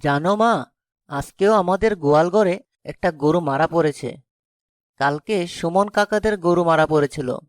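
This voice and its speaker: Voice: medium pitch, measured pace, no echo, clean studio sound, close to an everyday voice. An actor voicing a kind-hearted young village cowherd lad in a fairy-tale cartoon. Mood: worried, earnest.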